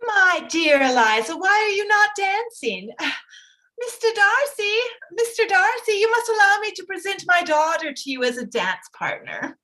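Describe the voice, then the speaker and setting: An actress playing a fussy, excitable middle-aged mother in a gushing, pleading manner, in a theatrical period staged reading. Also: very high-pitched, moderate pace, heavily acted. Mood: eager.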